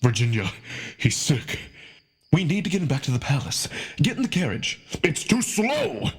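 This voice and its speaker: A man's voice: a little high, sing-song, normal speed, breathy edge. A man voicing an anxious, protective, commanding male wolf in a visual novel drama, urgent and emotional. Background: music.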